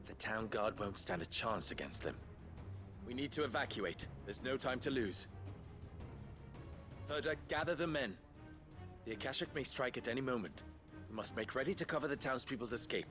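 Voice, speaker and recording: An earnest, commanding male leader played by a man in a dramatic video game. His formal speech moves widely in pitch, with loud music behind him. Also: expressive, moderate pace, lightly acted. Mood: urgent, commanding.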